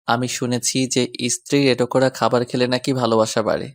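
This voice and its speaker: A man's voice: mid-range voice, level tone, brisk, lightly acted. A man playing a mischievous, doting young husband who teases and coaxes in a romantic audio story. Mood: teasing. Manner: teasing, coaxing.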